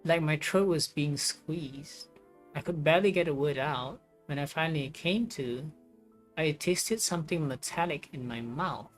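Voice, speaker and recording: A woman's voice: low-pitched, unhurried. Woman streamer musing over game music. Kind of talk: livestream commentary, reading game text aloud.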